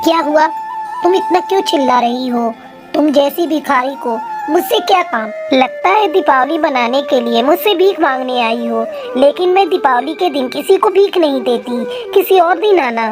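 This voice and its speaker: A woman's voice: high-pitched, lively intonation, normal speed, music in the background. Woman as a haughty rich mother pigeon. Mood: contemptuous. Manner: contemptuous, threatening.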